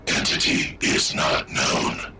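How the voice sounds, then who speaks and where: A man voicing an ancient war-machine AI, speaking in terse, flat commands through a processed machine voice. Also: slow pace, heavy background noise. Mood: cold, commanding.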